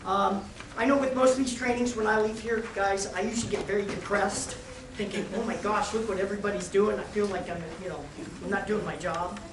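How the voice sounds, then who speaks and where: A woman presenter who is unwell and a little raspy, lecturing teachers at a workshop with jokes and direct asides to the group. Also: medium pitch, steady tone, a little fast, hardly any pauses. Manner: joking, addresses the group directly. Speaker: training presenter. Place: school meeting room. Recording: roomy, distant mic, clear noise.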